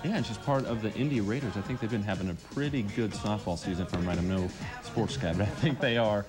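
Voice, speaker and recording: A man's voice: on the low side, quick pace. Male morning news anchor over music. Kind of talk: morning local newscast, reading and light banter. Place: TV studio. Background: music bed, director's cues talking over him.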